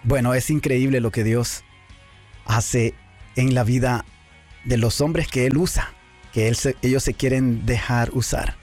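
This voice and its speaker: A man's voice: mid-range voice, steady tone, unhurried, a little mellow. Man, radio host, effusive, hesitant fillers, studio mic, faint music behind. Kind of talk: live radio interview. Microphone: studio microphone.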